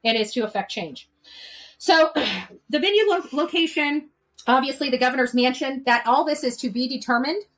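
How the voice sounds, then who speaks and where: A woman's voice: sits high, lively intonation, moderate pace, a bit bright, poor audio. A woman hosting an unscripted livestream, addressing her followers in an earnest, exhortatory way and often checking for agreement. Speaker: livestream channel host and activist organizer.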